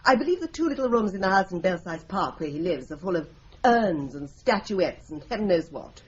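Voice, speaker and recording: An actress playing a patient, practical longtime secretary at a law firm in a radio play. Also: female voice, fairly low pitch, very animated, a little fast. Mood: composed. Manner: composed, practical, polite. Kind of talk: radio drama acting. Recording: dull lo-fi radio recording, faint hiss.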